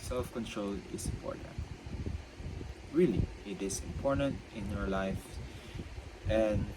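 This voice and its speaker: A young man, a student, talking unscripted about self-control, with a stutter that makes him halt and repeat himself. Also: on the low side. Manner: stutters, halting and repetitive. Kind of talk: unscripted reflective monologue. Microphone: own mic. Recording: loud background noise.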